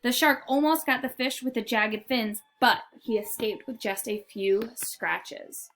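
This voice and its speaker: A woman narrating a children's picture book in an expressive, deliberate storytelling manner. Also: a little high. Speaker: read-aloud storyteller.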